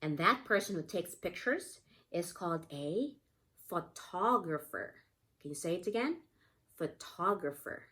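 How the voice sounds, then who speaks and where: Slow, very animated female preschool teacher. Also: fairly low pitch. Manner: simple explanations with repeated questions and prompts. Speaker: pre-K teacher. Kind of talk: read-aloud lesson for young children, asking questions.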